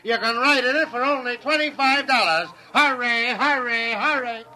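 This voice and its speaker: Piercing high-pitched fairground barker. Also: male voice, normal speed, exaggerated voice, rough recording. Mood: excited hawking. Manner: loud repetitive pitchman chant. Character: brash fairground barker hawking rocket rides. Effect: bullhorn. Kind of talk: 1950s radio acting.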